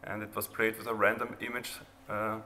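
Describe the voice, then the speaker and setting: Bright-voiced Austrian man presenting in a hall. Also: on the low side, flat delivery, fairly quick. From rural Austria near the Hungarian border. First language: German. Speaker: artist presenting own project. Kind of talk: informal live presentation. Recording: reverberant hall, background noise.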